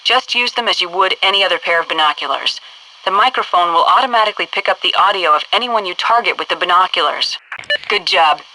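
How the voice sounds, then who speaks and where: An actress voicing a stern, brisk military headquarters instructor in a video game, giving clipped, commanding tutorial orders over a noisy radio-like transmission. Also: female voice, fairly low pitch, pushed and bright, no echo. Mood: brisk, instructive.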